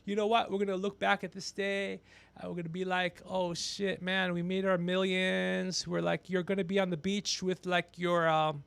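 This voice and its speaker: A man's voice: high voice, level tone, moderate pace, gravelly, fairly bright tone, clean tone, dry recording. A man in his fifties chatting casually on a livestream as host, brash and ranting, swearing freely. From Persian background. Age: 50s. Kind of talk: casual profane livestream chat.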